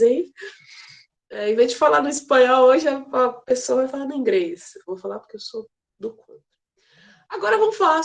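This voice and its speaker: A woman's voice: fairly high pitch, creaky. A woman teaching a casual geography class, chatty and playful, with many fillers and restarts. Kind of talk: casual online class lecture.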